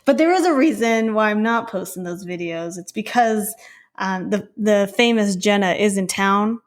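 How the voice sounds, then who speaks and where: A woman's voice: medium pitch, moderate pace. A woman co-hosting a podcast in casual chat, with an informal, playful, slangy way of talking. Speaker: podcast co-host.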